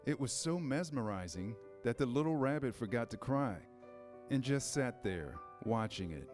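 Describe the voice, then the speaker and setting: A man's voice: mid-range voice, animated, normal speed, darkish tone, faint music behind. A man reading scripted storybook narration in a staged reader's theater style.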